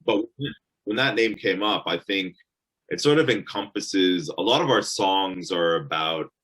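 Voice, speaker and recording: A man's voice: low-pitched, measured pace, a bit bright, rough recording. A man in his thirties with a Canadian accent, a musician guest on a video call, speaking hesitantly with many fillers. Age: 30s.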